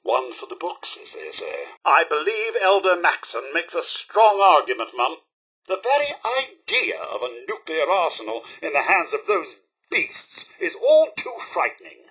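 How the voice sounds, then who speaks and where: A man's voice: fairly high pitch, very animated, normal speed, bright, forceful tone, slightly rough, heavily acted. A man voicing a polite, fretful robot butler in a video game, with a British accent and a prim, theatrical, courteous manner. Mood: alarmed, fretful. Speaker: companion character in a video game. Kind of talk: video game companion dialogue.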